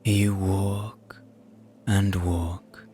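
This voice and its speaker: A man narrating a scripted guided meditation in a soothing, hushed, gentle manner. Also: low-pitched, little pitch movement, drawn-out words, faint music behind.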